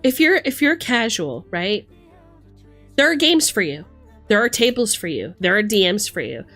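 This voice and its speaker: Female livestream host, medium-pitched, casual and opinionated rant, sweary, music underneath. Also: sing-song, moderate pace, bright, forceful tone.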